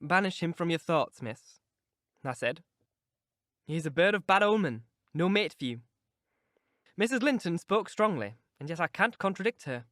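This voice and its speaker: A man's voice: fairly high pitch, expressive, moderate pace, pauses often, fairly bright tone, no room sound, clean studio sound. A man reading a novel aloud, voicing a sensible middle-aged housekeeper who speaks in an earnest, cautioning way.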